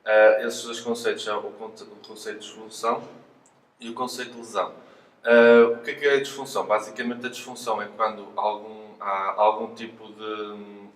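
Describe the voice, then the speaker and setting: Male physiotherapist interviewee, European Portuguese accent, fairly low voice, halting explanations, recorded at some distance from the mic. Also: level tone. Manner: hesitant, halting, explanatory delivery with repeated words. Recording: roomy echo, distant mic, faint noise.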